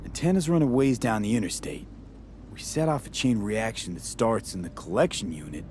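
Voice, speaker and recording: A man's voice: on the low side, lively intonation, a little fast, darkish tone, close to an everyday voice. An actor playing a laconic male mercenary in a video game, dry, clipped and wry. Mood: wry, attentive. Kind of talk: video game dialogue acting. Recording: vehicle and outdoor noise behind.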